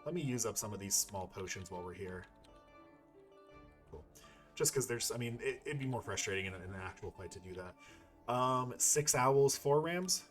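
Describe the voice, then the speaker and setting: A man's voice: low-pitched, moderate pace, pauses often, soft background music. A man doing casual let's-play commentary, thinking aloud in a rambling, playful and sometimes profane way. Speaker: gaming YouTuber playing and commenting.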